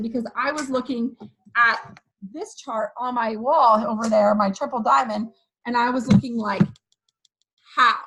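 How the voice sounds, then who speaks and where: A woman with a hoarse voice giving an energetic motivational pep talk to her sales team, often checking in with "okay" and "you guys". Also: fairly high pitch, low-quality recording. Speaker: direct sales team leader.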